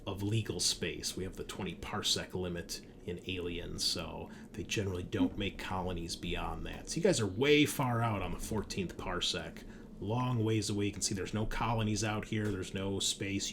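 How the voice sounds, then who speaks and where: Male tabletop RPG host, lower register, sci-fi horror game master, slight room noise behind him. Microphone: streaming setup at a computer. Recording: background noise, room ambience.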